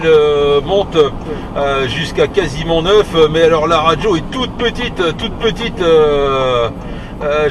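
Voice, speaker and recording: A man chats casually over CB radio from a moving vehicle, effusive and slangy, often repeating himself. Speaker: CB radio operator driving, channel host. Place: inside a moving vehicle on the motorway. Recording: noisy moving vehicle, road and engine rumble.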